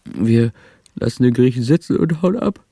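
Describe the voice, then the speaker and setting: An actor playing a cool, rough drifter and lover in a German radio crime drama, speaking tersely and low-key. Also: male voice, lively intonation, moderate pace, mellow, no echo, studio quality. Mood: wary, subdued.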